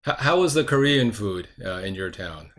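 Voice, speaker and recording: An older man hosting an interview, asking questions in a measured, courteous way. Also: lowish voice, expressive, fairly bright tone. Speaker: host interviewer. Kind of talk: interview conversation.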